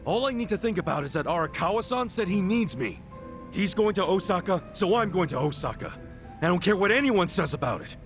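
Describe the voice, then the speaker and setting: A man voicing a brash, warm-hearted, impulsive and loyal ex-yakuza in a video game dub. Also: sits high, big pitch swings, a little fast, over music. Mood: stubborn resolve. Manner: informal, blunt, eager.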